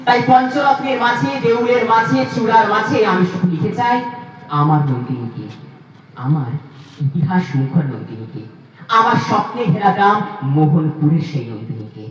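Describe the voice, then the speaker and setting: A man's voice: high-pitched, very animated, moderate pace, lo-fi sound. A man, a poet, giving a dramatic, declamatory recitation of verse with emphatic repeated phrases. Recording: very echoey, distant mic, faint noise.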